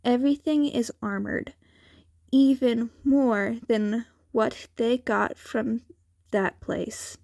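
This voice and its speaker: A woman's voice: fairly high pitch, steady tone, takes it slowly, gravelly, mellow, no echo, studio quality. A woman reading fan fiction aloud as a scripted narration. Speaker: podfic reader.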